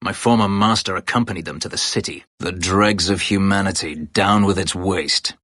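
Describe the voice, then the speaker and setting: An actor playing a brooding, bitter escaped-slave elf warrior in a fantasy video game, terse, guarded and drily sardonic, with a gravelly voice. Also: male voice, moderate pace, fairly bright tone, dry recording, studio quality. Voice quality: gravelly, husky low voice. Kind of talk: dramatic fantasy video game character dialogue.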